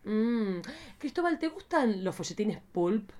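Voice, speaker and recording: A woman's voice: mid-range voice, very animated, measured pace, a little mellow. A woman with an Argentine accent co-hosting a podcast in casual, playful chat, campy and exclamatory, with plenty of slang. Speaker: podcast co-host. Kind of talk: casual, playful chat about cult cinema.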